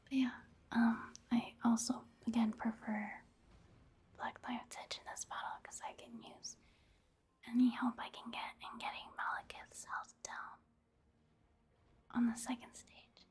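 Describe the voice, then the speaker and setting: A woman softly whispering casual ASMR gameplay commentary in a breathy, hushed, unhurried way. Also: on the high side, flat delivery.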